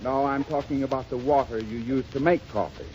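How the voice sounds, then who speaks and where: An American man working as a radio announcer, delivering a formal, emphatic 1930s sponsor pitch. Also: steady tone, fairly quick, a little mellow. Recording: lo-fi 1930s radio, faint noise.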